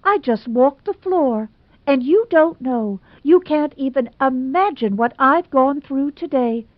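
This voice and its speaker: A woman's voice: high voice, expressive, measured pace, dry recording, dull audio, voice pushed up. A woman voicing a melodramatic, self-pitying young woman who speaks in a gushing, tearful, theatrical manner.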